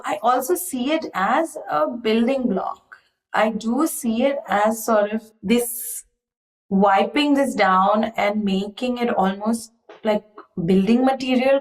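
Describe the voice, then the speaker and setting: A woman artist being interviewed, speaking in a thoughtful, measured way and often hedging. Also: on the high side, reverberant, low-quality recording. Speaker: artist guest. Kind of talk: reflective interview conversation.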